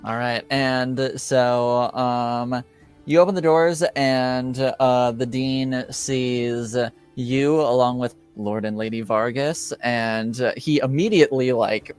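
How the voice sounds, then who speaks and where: A man improvising narration as game master of a tabletop roleplaying game, speaking in a halting, deliberate way. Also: mid-range voice, steady tone, a little mellow, smooth, faint music behind.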